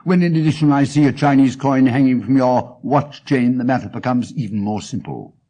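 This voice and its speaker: An actor reading as a cool, amused gentleman detective with an RP English accent and a dry, clipped manner. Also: mid-range voice, a little fast, lightly acted. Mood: dryly amused. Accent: English, Received Pronunciation.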